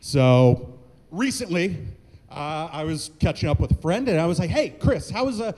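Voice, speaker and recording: A man from Mississippi with a Mississippi Southern accent, doing a halting stand-up roast full of uhs and repeated lines. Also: fairly high pitch, lively intonation, mellow. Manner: halting, lots of uh, repeats phrases. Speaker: stand-up comedian. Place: comedy club stage. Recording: long echoing hall reverb.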